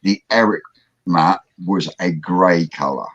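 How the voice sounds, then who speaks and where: Deep-voiced man, rambling through details. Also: slow, breathy edge, dry recording. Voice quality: rambling, technical explanations with many fillers. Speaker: host. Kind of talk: casual livestream chat. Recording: dull lo-fi audio.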